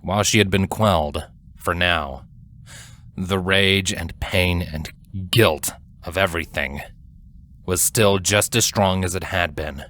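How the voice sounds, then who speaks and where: A man reading scripted dramatic fiction as a narrator, in a measured, solemn storytelling manner. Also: deep voice, fairly bright tone.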